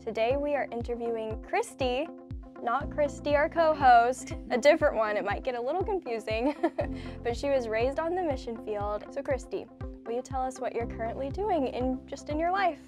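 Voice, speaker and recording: A woman's voice: high voice, expressive, moderate pace, music in the background. A woman hosting a podcast, asking questions in a friendly casual way. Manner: friendly, asks questions with fillers like "kind of". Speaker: podcast host. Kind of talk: casual interview chat.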